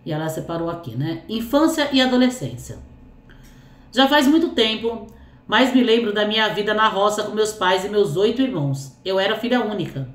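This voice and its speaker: A woman with a cold and a hoarse voice, hosting a storytelling channel and reading a listener's life story aloud between chatty asides full of little fillers. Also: mid-range voice, lively intonation, normal speed. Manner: chatty, fills speech with "né" and "assim". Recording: echoey room.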